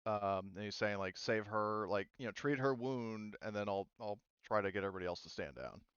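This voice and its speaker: A man running a tabletop roleplaying game as game master, talking haltingly and thinking aloud as he goes. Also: lowish voice, clear, resonant tone. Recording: roomy, slightly muffled microphone.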